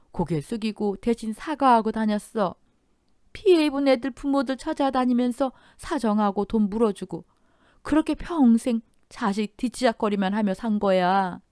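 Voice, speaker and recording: Woman putting on an older aunt voice, chatty and emotional, high pitched, dry close recording. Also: clean tone, no room sound, lightly acted. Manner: lively, high and loud.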